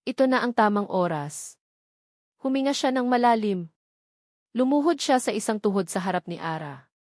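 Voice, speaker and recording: A woman narrating a scripted love story as a storyteller, with a measured, earnest, sentimental delivery. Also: mid-range voice, lively intonation, a little mellow, no echo, clean studio sound.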